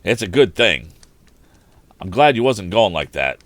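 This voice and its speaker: A man voicing an angry, complaining ragged tramp from a storybook, talking in rough, ungrammatical slang. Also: on the low side, big pitch swings, unhurried, no echo. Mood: grudging.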